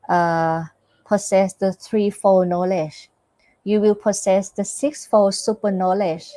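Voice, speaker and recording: A Buddhist nun from Thailand with a Thai accent, giving a teaching with live questions and answers. She pauses often and searches for her words. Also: medium pitch, dark tone, no echo, rough recording. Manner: hesitant, searches for words with many fillers.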